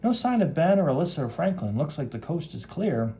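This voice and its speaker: Young comic-book hero read by a man: cocky, chivalrous, dramatic, animated delivery. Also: on the high side, a little fast, voice pushed up. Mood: brisk, wondering. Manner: brash, dramatic, read-aloud comic narration. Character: cocky, chivalrous young male superhero who wields flame.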